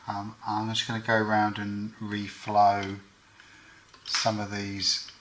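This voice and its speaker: A man's voice: lowish voice, completely flat delivery, slow pace, fairly bright tone, slight background noise, low-quality recording. A man giving hands-on repair commentary as host, thinking aloud in short, matter-of-fact phrases.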